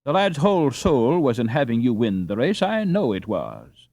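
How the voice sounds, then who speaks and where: A man's voice: mid-range voice, wildly swooping pitch, normal speed, a little mellow, dry recording, pitched above the performer's own voice. A man voicing a hearty, fussy Dutch housewife and mother in a storybook, with emphatic, folksy, old-fashioned phrasing. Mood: reassuring.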